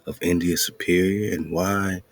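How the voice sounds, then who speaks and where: A man's voice: low voice, fairly even intonation, unhurried, gravelly. A man hosting an internet radio show, commenting loosely and preachily on an article he reads aloud and laughing between points. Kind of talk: casual commentary over a read-aloud article.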